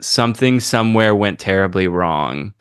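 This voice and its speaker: A man's voice: deep voice, lively intonation, normal speed, clean tone, no room sound, clean studio sound. A man hosting a podcast in casual comedic banter, with slangy, campy, sweary phrasing. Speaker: podcast host.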